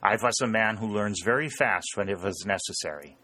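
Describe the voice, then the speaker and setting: A man's voice: on the low side, brisk, pushed and bright, dry recording. Man acting wily farmer, middle-aged. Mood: wily, dry. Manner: broken English with foreign phrasing. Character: wily middle-aged German farmer, stubborn, mock-mournful. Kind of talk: audiobook character voice.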